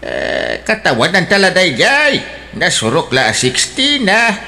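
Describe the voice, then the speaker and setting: An actor playing a teasing, gift-seeking husband who visits a sick friend in a radio drama. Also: male voice, high-pitched, huge pitch swings, measured pace, creaky, sharp tone, exaggerated voice. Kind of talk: Ilocano radio drama acting.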